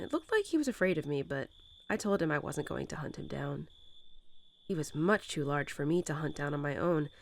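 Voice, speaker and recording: Soft, tender mother wolf, voiced by a woman. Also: fairly low pitch. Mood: soft wonder. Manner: tender, unhurried, lulling storytelling. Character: gentle nurturing mother wolf talking to her newborn pups. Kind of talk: soft-spoken roleplay bedtime audio.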